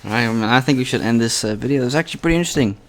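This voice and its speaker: Fast-talking young man hosting. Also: mid-range voice. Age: young adult. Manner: quick, casual, swearing, restarts. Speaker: podcast host. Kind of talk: casual podcast Q&A.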